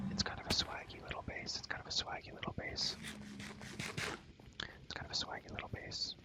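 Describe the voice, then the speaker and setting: A young man whispering casual, rambling ASMR gameplay commentary, full of slang and repeated phrases, in a breathy, unvoiced voice. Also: rough recording. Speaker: ASMR gaming video creator.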